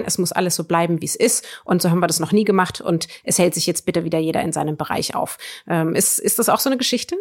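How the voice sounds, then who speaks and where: A woman co-hosting a book show in casual discussion, feeling her way through her phrasing. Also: on the low side. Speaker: book podcast co-host.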